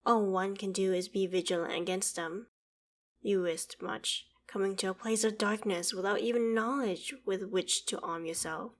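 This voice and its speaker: A woman playing an ancient female dragon in an ASMR roleplay, proud and curious, speaking in a measured, formal, archaic way. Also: mid-range voice, fairly even intonation, natural voice. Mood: imperious, wary. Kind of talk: ASMR roleplay audio drama, addressing the listener.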